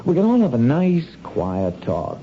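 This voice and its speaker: An actor playing a casual, smooth henchman in a radio drama, falsely reassuring and slangy, with quiet menace. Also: on the high side, wildly swooping pitch, a little slow. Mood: breezily soothing. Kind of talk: 1970s radio drama acting. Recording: dull, noisy old radio drama recording.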